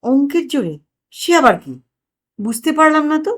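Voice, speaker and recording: Woman playing an astonished, high-pitched male ticket inspector. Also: sing-song, moderate pace, fairly bright tone. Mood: incredulous. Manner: incredulous, questioning.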